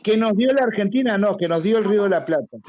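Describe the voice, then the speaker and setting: An older man in a group discussion who speaks deliberately and corrects others politely. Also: high voice, lively intonation, warm tone, muffled recording. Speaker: participant. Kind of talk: casual discussion.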